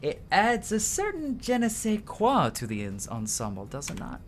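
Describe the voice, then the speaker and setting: A man's voice: sits high, wildly swooping pitch, normal speed, faint music behind. A man doing casual let's-play commentary, informal and sweary, reading game dialogue aloud as he plays. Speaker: game streamer.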